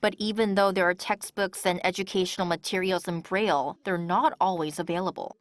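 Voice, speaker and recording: A woman's voice: lowish voice, steady tone, fairly quick. A woman reporter delivering scripted narration for a television news feature.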